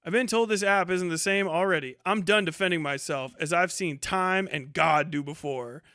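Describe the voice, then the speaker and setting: High-pitched, profane male livestreamer. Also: normal speed, pushed and bright, clear, resonant tone, no echo, studio quality. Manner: profane, blunt, mocking. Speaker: livestream host. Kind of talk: casual live reaction commentary, reading posts aloud.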